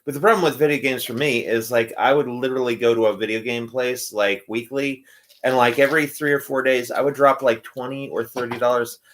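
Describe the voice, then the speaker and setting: A man's voice: medium pitch, fairly even intonation, a little fast, fairly bright tone, a little hiss or hum. A man of forty-one co-hosting a casual chat, laid-back with dry humor. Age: 40s. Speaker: podcast co-host.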